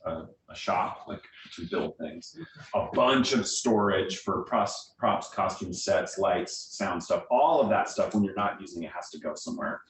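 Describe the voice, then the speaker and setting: An American man with a fairly low voice speaks conversationally as a guest at a meeting, explaining things in everyday terms. He sounds distant from the mic in an echoey room, with faint noise underneath. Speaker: guest speaker, theatre director. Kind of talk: informal question-and-answer talk to a small meeting.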